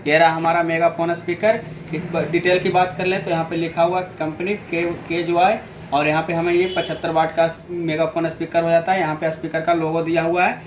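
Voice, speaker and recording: A man's voice: a little high, little pitch movement, a little fast, muffled recording. Indian man demonstrating, noisy recording. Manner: informal, repetitive step-by-step explaining. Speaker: product reviewer and video host. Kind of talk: unboxing demonstration narration. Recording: clearly noisy amateur recording.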